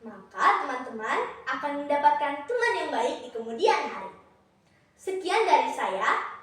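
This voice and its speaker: Teen girl doing storytelling, high voice, super echoey room, kinda far from mic. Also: big pitch swings, a bit bright. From Indonesia. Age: teenager. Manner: deliberate, declamatory, addresses listeners directly. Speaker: student storyteller in a contest. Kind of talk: theatrical children's storytelling.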